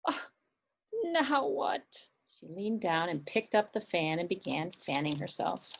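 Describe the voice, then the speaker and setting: A woman's voice: lowish voice, vocal fry. A woman, a children's librarian, telling a story with puppets for kids. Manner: animated, slow and expressive storytelling.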